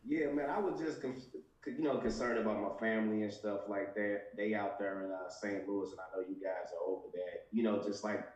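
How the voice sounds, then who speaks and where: Low-voiced man on a distant, echoey line. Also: a little fast, a little mellow. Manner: hesitant, loose and informal. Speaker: caller. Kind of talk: casual call-in.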